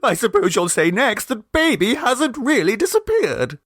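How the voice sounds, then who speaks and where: A man's voice: very high-pitched, sing-song, normal speed, fairly bright tone, no echo, studio quality, pitched above the performer's own voice, heavily acted. A man voicing a distraught, tearful young mother in a storybook reading, wailing and sobbing. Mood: aggrieved. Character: distraught, shrill, tearful young mother, upper-middle-class Edwardian.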